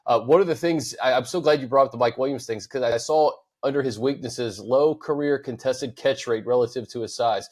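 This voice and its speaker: A man hosting a sports talk show, laying out his points in a steady, methodical way. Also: medium pitch, fairly quick, hardly any pauses. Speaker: host.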